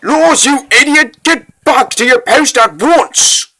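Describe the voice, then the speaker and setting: A man's voice: extremely high pitch, expressive, slow pace, pushed and bright, voice pushed up, full character voice. A man voicing a stern, suspicious soldier captain in a comic dub, barking military commands aggressively. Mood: furious.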